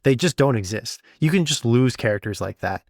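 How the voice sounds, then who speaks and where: A man's voice: medium pitch, lively intonation, a little fast, dry recording, studio quality. A man hosting a podcast, explaining and giving advice in an informal, conversational way. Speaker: podcast host and audio drama sound designer. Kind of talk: casual podcast talk, explaining and advising.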